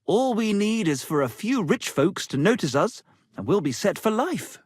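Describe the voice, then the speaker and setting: An actor playing a vain, touchy, melodramatic medieval minstrel poet in a video game, with florid, declamatory delivery. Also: male voice, high voice, very animated, moderate pace, darkish tone, breathy edge, no room sound, clean studio sound, heavily acted. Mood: pompous, confident. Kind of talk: video game character acting.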